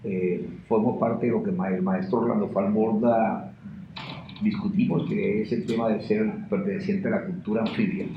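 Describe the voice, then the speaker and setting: A Colombian Caribbean man in his sixties talks in a reminiscing, conversational way, as an interviewee does. His voice has a gravelly fry to it. The recording is echoey and muffled, as if in a bare room. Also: fairly low pitch, fairly even intonation, normal speed, noisy background. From Caribbean river region of Colombia. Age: 60s. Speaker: interviewee, sociologist and teacher.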